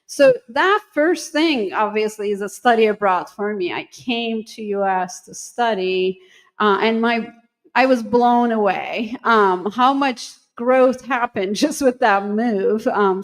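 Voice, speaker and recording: A woman's voice: medium pitch, takes it slowly. A middle-aged woman with a Turkish accent, a university dean talking casually in an interview, thoughtful and hesitant with many ums and restarts. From Turkey.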